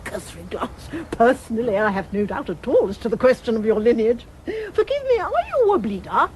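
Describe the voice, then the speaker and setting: Gushing, dotty lady professor for comic audio drama; very animated, high register. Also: fairly quick, a little hiss or hum. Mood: eccentric, eager. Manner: gushing, erudite, flowery phrasing. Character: excitable, effusive, scatterbrained female history professor.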